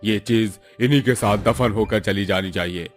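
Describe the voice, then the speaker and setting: A man's voice: on the low side, moderate pace, natural voice. A man acting a treacherous, greedy boss in a cartoon story, with music behind him. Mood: menacing. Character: greedy, treacherous male boss, gloating villain. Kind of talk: Hindi cartoon villain acting.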